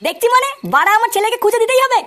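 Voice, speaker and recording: A woman's voice: very high-pitched, very fast, pushed and bright. A woman voicing a belligerent, foul-mouthed father in a comic cartoon. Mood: indignant. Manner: belligerent, complaining. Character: hot-tempered, foul-mouthed father of missing boy.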